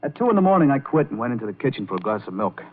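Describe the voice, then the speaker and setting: An actor playing an earnest, awkward young man with a halting, boyish manner in an old-time radio drama. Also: mid-range voice, very animated, a little hiss or hum, natural voice. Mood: brooding, narrating. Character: earnest, awkward young piano player narrating his story. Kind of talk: 1940s radio drama acting and first-person narration. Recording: tinny old radio recording with music.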